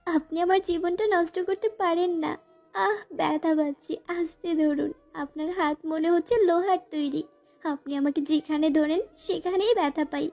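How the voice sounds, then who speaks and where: A woman's voice: very high voice, steady tone, normal speed, a little mellow, soft background music. A woman playing a frightened, pleading young student bride in a dramatized first-person romantic audio story. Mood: pained, pleading. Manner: plaintive, pleading.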